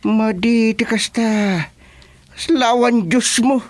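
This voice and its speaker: A stubborn old village husband with an Ilocano accent in a comedy radio serial. He scoffs slowly and deliberately, on a dry, close recording. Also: very high voice, no echo. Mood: scoffing. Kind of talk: comedy radio drama acting.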